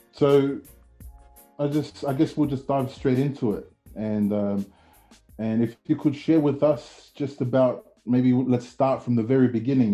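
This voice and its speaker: A man's voice: on the low side, dark tone, dull audio. A man hosting a podcast interview, hesitant and encouraging, with many fillers. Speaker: podcast host.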